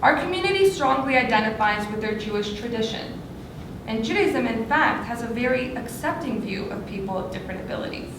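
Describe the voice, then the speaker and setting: A woman's voice: on the high side, lively intonation, fairly quick. A young woman from Los Angeles giving an earnest prepared speech as a student speaker, with small slips. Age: young adult. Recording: echoey hall, far from the mic, noisy.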